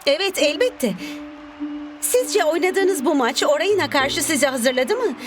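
A woman's voice: high-pitched, lively intonation, measured pace, music in the background. A woman playing a pushy, persistent sports reporter in a cartoon interview. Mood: insistent.

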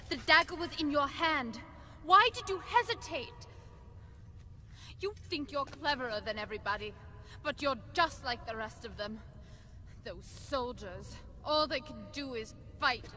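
Game princess: young, proud yet tender, high bright voice, emotional delivery. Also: female voice, heavily acted. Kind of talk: video game cutscene acting.

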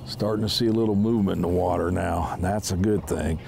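A man in his fifties with a lowish voice talks through his fishing technique in a relaxed, easygoing way. He is out on a lake in a small boat, recorded on a close camera mic, with a faint outdoor hush behind him. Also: fast, gravelly, a little hiss or hum. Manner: relaxed, explaining as he fishes. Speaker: fishing show host. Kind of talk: casual instructional talk while fishing.

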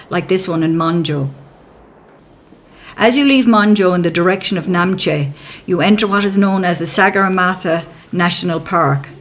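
A woman giving calm, measured, scripted narration for a travel documentary as a voice-over. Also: fairly low pitch, slight background noise.

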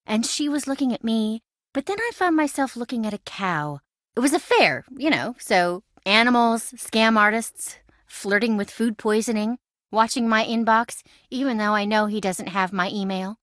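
A woman's voice: on the high side, moderate pace, a bit bright, no echo, clean studio sound, lightly acted. An actress voicing a chatty, impulsive young woman in a video game, breezy, wry and self-dramatizing. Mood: breezy, dramatic. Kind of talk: video game voice acting, conversational.

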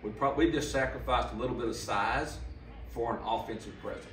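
A man's voice: medium pitch, a little fast, a bit bright, a little hiss or hum. An older man, a head coach answering press questions after a game in a plainspoken, emphatic way, seated at a table mic. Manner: plainspoken, emphatic, repeats for stress. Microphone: table mic on stand, camera some distance off. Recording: roomy, slightly distant mic pickup.

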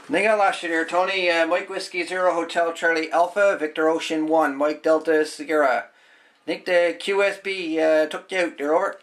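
A man's voice: a little high, steady tone, normal speed, a bit bright. A man working as an amateur radio operator, talking casually and informally with spelled-out phonetic call signs. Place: indoors at a home radio desk.

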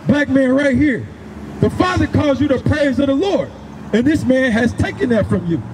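A man's voice: very high-pitched, lively intonation, normal speed, long reverb tail. A man preaching emphatically on the street through a handheld microphone, repeating phrases and giving commands. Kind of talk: confrontational open-air street preaching. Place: outdoors on a grassy housing-project lawn. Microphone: handheld microphone through a portable speaker. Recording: noisy outdoor street recording.

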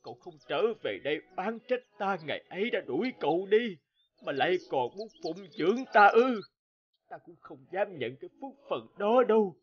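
An actor playing a lonely, remorseful old father in an animated drama, speaking in an emotional, sorrowful way. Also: sits high, normal speed, dull audio. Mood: regretful. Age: older.

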